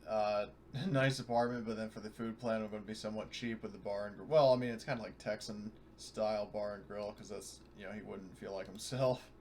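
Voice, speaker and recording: Male gamer, lower register, hesitant casual talk, faintly noisy online call. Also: steady tone, fairly quick, a little mellow. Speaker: player in a tabletop game. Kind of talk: casual chat.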